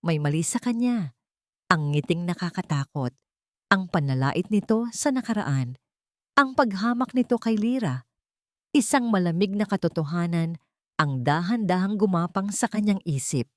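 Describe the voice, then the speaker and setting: Low-voiced woman narrating a dramatic story. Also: lively intonation, moderate pace, no room sound, clean studio sound. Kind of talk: dramatic scripted storytelling of a romance tale.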